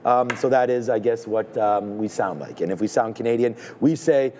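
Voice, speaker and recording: A man hosting a live show, chatting in a relaxed, rambling way with fillers. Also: mid-range voice, moderate pace, slight background noise. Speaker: show host signing off. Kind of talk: casual show chat.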